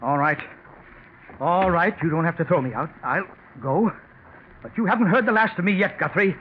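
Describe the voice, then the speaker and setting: An actor playing an aging, cheated company president, wounded and bitter, in a 1940s radio drama. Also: male voice, fairly high pitch, normal speed, natural voice. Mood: bitter, menacing. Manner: wounded, pleading turning to menacing. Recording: dull, noisy 1940s radio recording.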